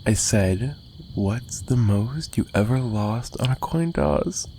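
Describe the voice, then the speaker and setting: A man's voice: fairly low pitch, expressive, slow, dark tone, a little hiss or hum. A man playing an obsessive, menacing mafia kidnapper in an ASMR roleplay, possessive and teasing, with a taunting delivery that swings between murmurs and giggles. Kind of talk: dramatic ASMR roleplay monologue.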